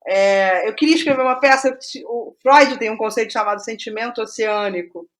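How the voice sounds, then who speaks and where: A Brazilian woman speaking casually as an interview guest, telling stories in an animated way and thinking aloud with rhetorical asides. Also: fairly high pitch, fairly quick. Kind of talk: casual conversational interview about writing process.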